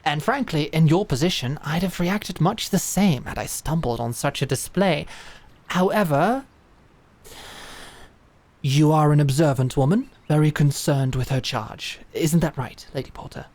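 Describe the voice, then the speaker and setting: A woman's voice: low-pitched, exaggerated voice. A woman playing a composed, courteous female knight who speaks formally and persuasively in an audio drama. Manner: calm, formal, measured persuasion.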